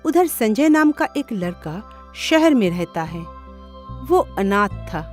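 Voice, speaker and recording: A woman's voice: mid-range voice, big pitch swings, slow pace. A woman narrating a scripted cartoon moral story. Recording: music behind the voice.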